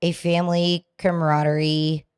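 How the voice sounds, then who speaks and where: A woman's voice: low voice, flat delivery, normal speed, clear, resonant tone. A woman guest on a podcast, chatting casually and laughing easily about working as a bar rep. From not local to the area. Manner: chatty, laughs easily, loud "mom voice" she says rarely comes out.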